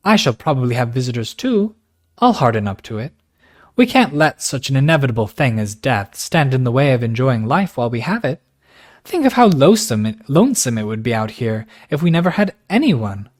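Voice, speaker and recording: A man voicing a frank, impulsive young woman from a wealthy family, speaking high and fast. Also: sing-song. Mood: passionate. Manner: high, passionate, rushed.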